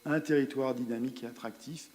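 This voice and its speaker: A man giving a spoken presentation at a council meeting, explaining results in a hesitant, halting way with repeated words. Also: mid-range voice, steady tone, a little mellow. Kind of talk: spoken presentation of survey results and strategy, partly improvised. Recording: reverberant hall sound.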